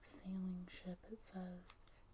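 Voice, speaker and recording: A woman whispering gently in a casual ASMR show and tell, soft-spoken and breathy, close to the microphone. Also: fairly low pitch, completely flat delivery, slow, a little hiss or hum.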